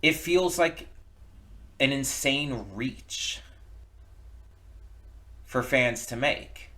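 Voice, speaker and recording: Man giving halting, casual commentary. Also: medium pitch, pushed and bright. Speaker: reaction video host. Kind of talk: casual opinion commentary on a comic dub.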